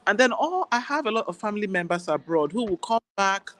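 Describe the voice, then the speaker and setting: A woman with a Nigerian accent, an Igbo speaker, talking as a guest doctor in a relaxed interview, chatty and quick to laugh. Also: medium pitch. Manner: warm, chatty, laughs easily. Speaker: guest, general practitioner. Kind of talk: casual interview chat.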